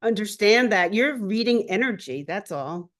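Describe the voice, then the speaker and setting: A woman speaks at a middle pitch as she interviews a guest. Her manner is earnest, and she affirms what the guest says. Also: expressive, normal speed. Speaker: astrologer and show host. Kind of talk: interview conversation.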